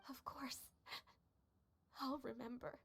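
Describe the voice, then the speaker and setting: A woman voicing a young, loyal child of the household in a game cutscene, speaking softly and timidly with emotion. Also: high-pitched, level tone, takes it slowly, a little hiss or hum, exaggerated voice. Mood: tearful.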